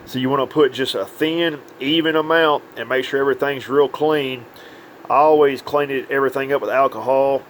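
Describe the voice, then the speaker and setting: A man's voice: medium pitch, a little hiss or hum. A man giving an informal, hands-on update as a radio repair technician, with plain, folksy phrasing. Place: indoors at a repair workbench.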